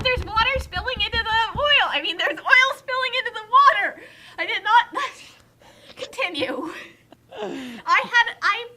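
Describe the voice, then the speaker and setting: A woman's voice: very high voice, sharp tone, slight background noise. A young woman chatting casually as a co-commentator, telling rambling anecdotes in a wry, exaggerating way. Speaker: guest co-commentator.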